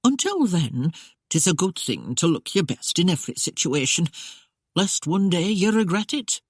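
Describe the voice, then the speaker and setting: A narrator voicing a gentle but insistent older housekeeper woman in an audiobook, with rustic old-fashioned speech. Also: mid-range voice, very animated, normal speed, husky, dry recording, studio quality.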